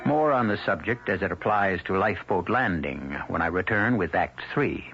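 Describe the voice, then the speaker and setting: A man hosting an old-time radio mystery, narrating from a script in a deliberate, theatrical, philosophical way. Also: deep voice, lively intonation. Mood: musing. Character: measured, philosophical storyteller host. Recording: muffled old radio recording. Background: music.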